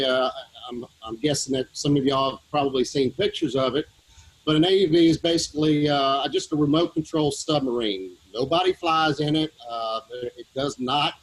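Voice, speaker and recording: A man with a Southern US accent, a team leader explaining his work to schoolchildren in a relaxed way with many fillers, aboard a ship. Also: mid-range voice, low-quality recording. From Louisiana, USA. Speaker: underwater vehicle team leader. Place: operations room on a ship.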